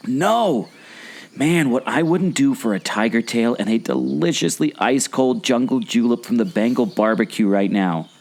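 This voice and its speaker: A man's voice: medium pitch, expressive, moderate pace, creaky, darkish tone. A man playing a hungry, distracted, good-natured sidekick in a scripted audio drama, speaking in a playful way. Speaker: podcast co-host.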